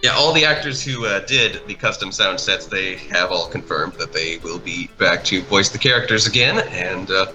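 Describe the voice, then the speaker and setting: Male guest, low pitch, very sharp bright tone, enthusiastic and halting, conversational. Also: animated, soft background music, poor audio. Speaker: guest, creator and voice actor. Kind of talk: casual chat, commentary over an art video.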